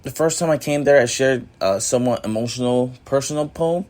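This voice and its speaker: Raspy young man rambling on a podcast. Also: mid-range voice, a little fast, a little mellow. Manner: rambling, filler-heavy, keeps saying "you know what I mean". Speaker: podcast host. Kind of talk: casual solo reflection.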